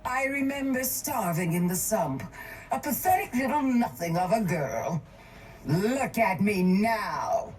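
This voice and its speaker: An actress voicing a cold, calculating, wealthy woman crime baron in a video game, smug, measured and condescending. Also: medium pitch, sing-song, a little mellow, soft background music. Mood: bitter pride.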